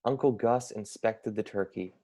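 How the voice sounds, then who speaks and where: A man's voice: on the low side, normal speed, dark tone. A young man narrating a children's picture book as a scripted read-aloud. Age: young adult. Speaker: storybook reader, college student.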